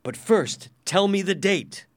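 A man voicing a desperate but bold condemned man in a storybook, loudly and dramatically. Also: high-pitched, expressive, slow pace, pitched above the performer's own voice, heavily acted. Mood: commanding bluff. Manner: loud, dramatic, exclaiming. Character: desperate, quick-thinking man facing execution, bluffing boldly.

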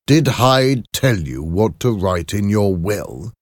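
A man voicing a grave, concerned lawyer in a storybook, with a measured, probing manner. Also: fairly low pitch, big pitch swings, no room sound, clean studio sound, pitched above the performer's own voice. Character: grave, concerned male lawyer, questioning and suspicious. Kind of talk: audiobook character acting.

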